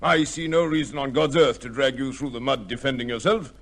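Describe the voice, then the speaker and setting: An actor playing a discreet major from a government office in an old radio drama, formal and official. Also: male voice, mid-range voice, brisk, a bit bright, natural voice. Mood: emphatic.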